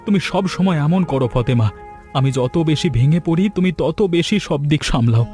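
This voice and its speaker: A man's voice: a little high, lively intonation, normal speed, warm tone, faint music behind, lightly acted. An actor playing a poor, hardworking farmer father in a cartoon folk tale, earnest and plaintive, with wounded dignity. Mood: weary, hurt.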